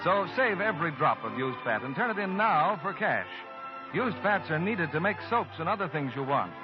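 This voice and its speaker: A man's voice: on the high side, big pitch swings, normal speed. A man reading a vintage radio advertisement as an announcer. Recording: dull old radio recording. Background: music.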